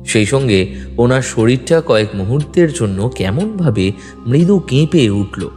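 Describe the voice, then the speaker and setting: A man's voice: medium pitch, sing-song, unhurried, a little mellow. A man narrating a scripted detective story in the first person with a dramatic storytelling delivery. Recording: long reverb with music bed. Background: background music.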